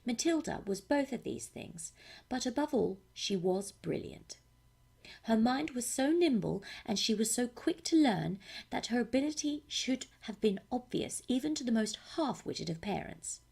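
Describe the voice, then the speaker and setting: A woman giving a scripted audiobook-style narration in a measured, expressive storytelling manner. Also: fairly high pitch, creaky, slightly rough. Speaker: voice actor narrating demo reel.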